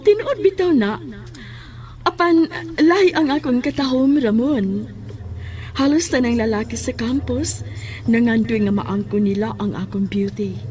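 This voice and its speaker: A woman's voice: high voice, a little mellow, a little hiss or hum. An actress playing a coy, insistent, scheming young female student in a horror audio drama.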